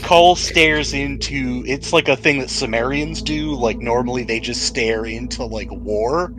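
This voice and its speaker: A man's voice: mid-range voice, unhurried, over music. A man acting as game master, narrating a tabletop roleplaying game casually and jokingly, often laughing as he talks. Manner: jokey, laughs often while talking.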